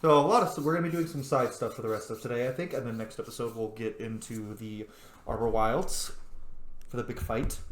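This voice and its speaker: A man's voice: mid-range voice, fairly even intonation, brisk, reverberant. A man giving casual, rambling let's play commentary as he games, with informal language and some swearing. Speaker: gaming video host.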